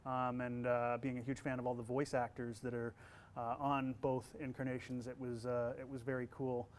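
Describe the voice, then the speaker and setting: A man with a youthful-sounding voice talks through interview questions in an easygoing, chatty way, often pausing on fillers and starting his sentences over. His pitch sits in an ordinary middle range, and a faint ambient hiss hangs behind him. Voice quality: young-sounding voice. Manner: relaxed, many fillers and restarts. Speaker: voice actor and college instructor. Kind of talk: casual interview answers.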